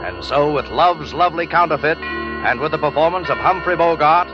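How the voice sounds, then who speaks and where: A man's voice: a little high, lively intonation, normal speed. A man hosting a suspense show in formal, dramatic 1940s radio announcing style, reading a scripted introduction. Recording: dull vintage 1940s radio recording. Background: loud dramatic music.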